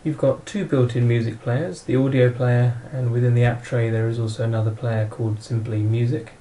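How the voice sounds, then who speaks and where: A man with a mid-pitched, mellow voice talks through how a device works. His delivery is matter-of-fact and instructional, like a straightforward demonstration. Some ambient noise sits behind the muffled recording. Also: little pitch movement, brisk. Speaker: product demonstrator. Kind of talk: instructional product walkthrough narration.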